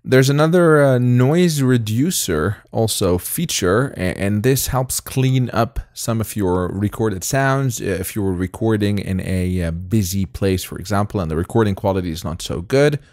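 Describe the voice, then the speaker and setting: A man in his thirties with a non-native English accent, casually demoing software in a relaxed, chatty way with frequent fillers, recorded at home on a close mic. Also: fairly low pitch, moderate pace, hardly any pauses, no room sound. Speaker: tech reviewer. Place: home studio room with colored lights.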